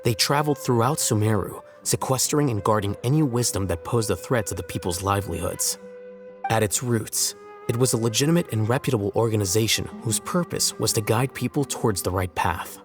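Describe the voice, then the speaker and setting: A man voicing a composed young scholar in a video game, measured, articulate and detached. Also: lowish voice, over music, lightly acted. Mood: explaining. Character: composed, matter-of-fact young male scholar, coolly analytical. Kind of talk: English video game dub acting.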